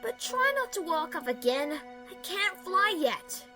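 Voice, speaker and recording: A woman's voice: very high-pitched, sing-song, unhurried, exaggerated voice. A woman voicing a brash young female pegasus pony, impatient and boastful, in a fan audio drama. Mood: flustered. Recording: music under the voice.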